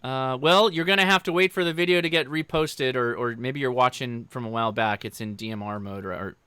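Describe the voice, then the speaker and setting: A man's voice: medium pitch, brisk, sharp tone, smooth, no echo. An American man hosting a casual livestream, informally joking and talking directly to viewers as he answers their questions. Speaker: livestream host.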